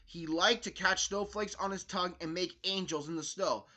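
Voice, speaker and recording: High, bright-voiced man reading aloud. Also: moderate pace. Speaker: storyteller, video host. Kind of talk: children's picture book read-aloud.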